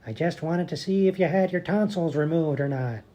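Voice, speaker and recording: A woman playing a brusque, matter-of-fact hospital doctor with deadpan delivery in a radio comedy. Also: deep voice, fairly quick, close to an everyday voice.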